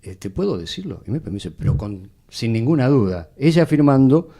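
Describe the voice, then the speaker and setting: A man's voice: medium pitch, big pitch swings, fairly quick, gravelly, dark tone. An Argentine man, a voice professional, talking conversationally in a panel discussion.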